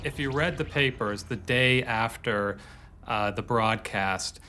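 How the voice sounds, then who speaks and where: A man's voice: mid-range voice, slow pace, over music. A man telling historical anecdotes as a documentary interviewee. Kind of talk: storytelling commentary.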